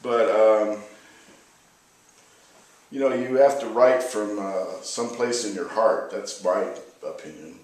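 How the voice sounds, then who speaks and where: A man's voice: fairly low pitch, slow, long pauses, a little mellow. Echoey, distant American man reading poetry. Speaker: poet reading own work. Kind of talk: live poetry reading with informal spoken introduction. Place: reverberant room at a live reading. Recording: echoey live room, distant microphone.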